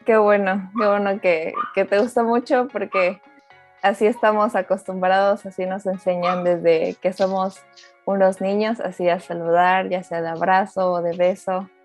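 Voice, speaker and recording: A woman's voice: mid-range voice, level tone, moderate pace, soft background music. A woman hosting a casual interview, asking questions in an encouraging way. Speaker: host. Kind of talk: friendly interview, casual chat.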